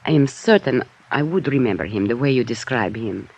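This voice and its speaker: An actress playing an earnest European woman under suspicion in an old-time radio drama, hesitant and protesting, with a husky voice. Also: extremely low pitch, animated, brisk, darkish tone, heavily acted. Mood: pleading, earnest. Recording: dull, band-limited old radio recording.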